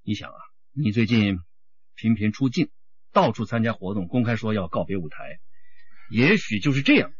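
A man's voice: lowish voice, very animated, moderate pace, breathy edge, no echo, lo-fi sound. A man playing a probing, assured police detective in a radio drama, questioning and emphatic. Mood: persuasive, urgent.

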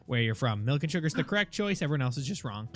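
A man's voice: mid-range voice, very animated, racing, a bit bright, faint music behind. A grumpy, exasperated man improvising in a video game roleplay.

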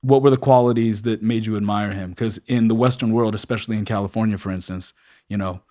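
A man's voice: on the low side, steady tone, fast talker, dark tone. A man from Los Angeles hosting a podcast, talking in a laid-back, enthusiastic way with casual slang. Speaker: podcast host.

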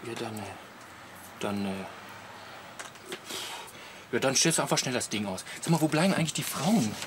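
Schauspieler als argloser Camper, bewegte Satzmelodie. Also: male voice, mid-range voice, lively intonation, normal speed, lightly acted. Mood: good-natured. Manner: colloquial, questioning. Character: naive, good-natured, mildly indignant camper husband. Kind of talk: radio play comedy dialogue. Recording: clear background noise.

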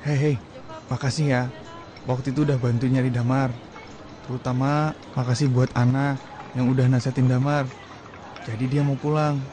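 A man voicing a thoughtful young man in a radio play, casual and reflective. His voice sits at a middle pitch. Clear ambient noise runs underneath. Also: little pitch movement, normal speed, pauses often, close to an everyday voice. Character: thoughtful young man Haji, Damar's older brother. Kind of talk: radio drama acting.

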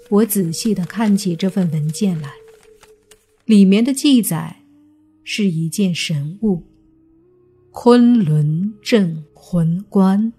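A woman narrating a scripted suspense audiobook in a measured, deliberate storytelling manner. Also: medium pitch, mellow, husky. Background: background music.

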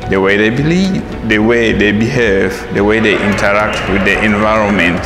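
A man with a low, gravelly voice gives measured, formal interview answers. Music plays clearly behind him. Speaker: hall monitor. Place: room with brick wall, indoors.

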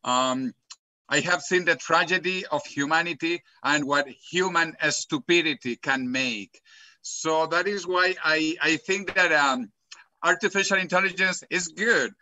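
A man's voice: a little high, unhurried, dry recording. A middle-aged man from Venezuela, speaking emphatically as a guest on a video call panel. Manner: emphatic, animated hand gestures. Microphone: webcam on video call.

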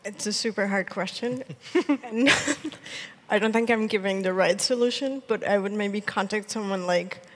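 A woman's voice: mid-range voice, moderate pace. A woman speaking as a panelist, modest in her answers and laughing between phrases. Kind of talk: conference panel talk. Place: large conference stage. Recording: reverberant hall.

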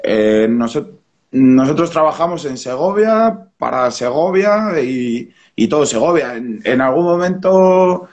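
A man's voice: a little high, lively intonation, a little slow, a little mellow. A man in his thirties with a Spanish accent, a brewery founder talking as a guest over a video call from a small room at home. He speaks casually, in a rambling way with many restarts. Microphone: webcam or laptop mic on a video call.

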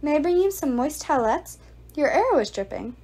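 A woman's voice: high-pitched, expressive, brisk, warm tone, voice pushed up. A woman reader voicing a clever young woman posing as a servant, speaking with mock politeness. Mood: mock-servile.

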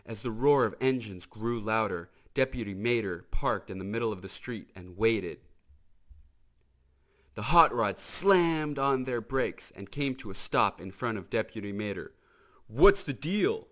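A man narrating a children's storybook in an expressive, emphatic manner. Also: on the low side, unhurried. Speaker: storybook reader.

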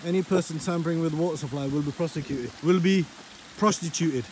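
A man's voice: a little high, brisk, a little mellow. A man chatting casually and jokingly as he films himself out walking. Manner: joking, informal. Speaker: vlogger. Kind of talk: casual chat while filming a hike. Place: outdoors on a moorland reservoir trail. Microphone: handheld phone camera. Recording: noisy outdoor phone recording, wind and handling clicks.

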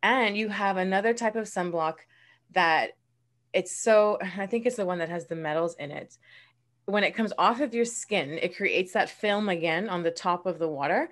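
A woman's voice: medium pitch, a bit bright. A young woman from the Bahamas, a marine conservationist, explaining earnestly in a casual interview over a video call from a room at home. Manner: earnest, explanatory, quick bursts between slow stretches.

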